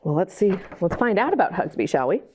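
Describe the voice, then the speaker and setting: A woman hosting storytime, reading a picture book to young children in an animated way, with chatty questions and asides to the listeners. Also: on the low side, fast talker, dull audio, a little hiss or hum. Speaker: library storytime host and reader.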